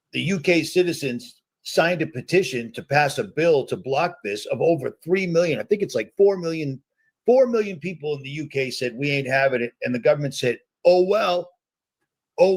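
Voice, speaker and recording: Husky ranting man streaming live. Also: on the high side. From United States. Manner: ranting, conspiratorial, repetitive. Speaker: host. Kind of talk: live reaction stream monologue.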